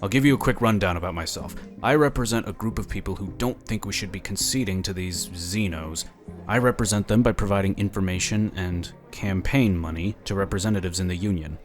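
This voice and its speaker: An actor playing a slick, smug lobbyist in a sci-fi audio drama, chatty and condescending. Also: male voice, low voice, brisk, faint music behind, close to an everyday voice. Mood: confiding, scheming. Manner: chatty, smooth-talking, condescending. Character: smug, slick, scheming male political lobbyist.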